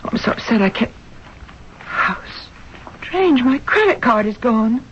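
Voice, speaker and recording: An actress playing a distressed, bewildered wife in a 1970s radio drama, earnest and dramatic. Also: female voice, a little high, sing-song, measured pace. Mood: anxious. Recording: muffled, noisy vintage radio broadcast.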